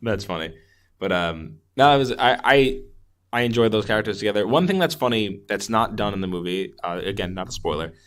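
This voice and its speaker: A man's voice: lowish voice, animated, fairly quick, fairly bright tone. A man co-hosting a podcast, chatting casually about movies and often hedging or backtracking on his opinions. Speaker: podcast co-host. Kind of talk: casual movie discussion chat.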